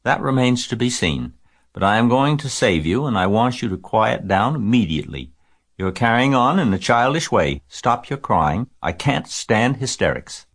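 A man voicing a clever, no-nonsense female spider in a storybook, brisk, firm and composed. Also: medium pitch, sing-song, pitched above the performer's own voice.

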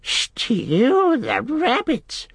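A man voicing a wretched, wheedling creature with a thin, hissing, raspy voice, whining and repeating words in an audiobook. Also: very high voice, wildly swooping pitch, extremely slow, fairly bright tone, no room sound, clean studio sound, pitched above the performer's own voice, full character voice. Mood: dismayed pleading. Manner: repeats words, whining, speaks of self in third person.

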